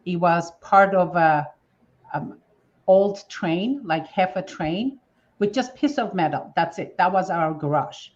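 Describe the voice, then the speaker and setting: A woman's voice: fairly low pitch, slow pace, lo-fi sound. A woman from Armenia in her fifties, a guest telling her story in earnest, broken-up sentences. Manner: earnest, grateful, speaks in fragments. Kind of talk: conversational storytelling.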